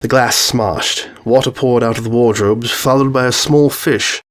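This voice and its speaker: A man's voice: mid-range voice, fairly even intonation, normal speed, a bit bright. A man narrating a scripted short story as its narrator.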